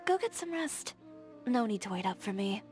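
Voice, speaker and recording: A woman's voice: medium pitch, sing-song, normal speed, a little mellow, lightly acted. A woman voicing a confident, blunt young fashion designer in a video game, direct and playfully teasing. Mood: relaxed. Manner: direct, self-assured, teasing. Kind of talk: scripted video game character dialogue. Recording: background music.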